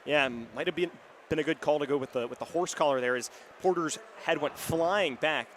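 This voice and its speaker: Young man, higher voice, football play-by-play, radio announcer, noisy stadium behind. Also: fairly quick. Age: young adult. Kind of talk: live American football commentary. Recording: live stadium booth, clear background noise. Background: stadium crowd and outdoor ambience.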